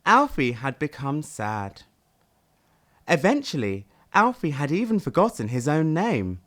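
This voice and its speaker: A man reading a children's audiobook as narrator, with dramatic, expressive delivery. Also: fairly high pitch, unhurried. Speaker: audiobook reader.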